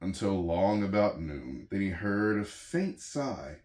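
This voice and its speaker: A man reading a children's story aloud in an animated storytelling style. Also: deep voice, takes it slowly. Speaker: storybook reader. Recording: echoey room.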